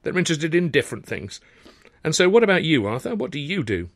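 Man putting on a high, chattering cheeky chorus girl. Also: very animated, fast, no room sound, studio quality. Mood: cheeky, confiding. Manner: chatty, theatrical, teasing exclamations.

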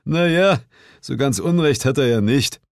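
A man's voice: medium pitch, expressive, unhurried, gravelly, no echo, studio quality, voice pushed up. A man voicing an easily riled male reporter, grumbling and exclaiming in an audiobook. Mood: sheepish. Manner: indignant, exclamatory.

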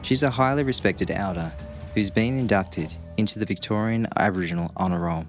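A man's voice: fairly low pitch, a little fast, faint music behind. A man from Australia giving a formal, scripted acknowledgement of country and introducing the episode.

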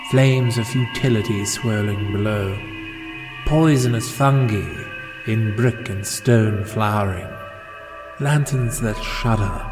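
A man giving a solemn, measured dramatic reading of a poem. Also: on the low side. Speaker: poetry reader. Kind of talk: slow dramatic poetry recitation. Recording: music behind the voice. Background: background music.

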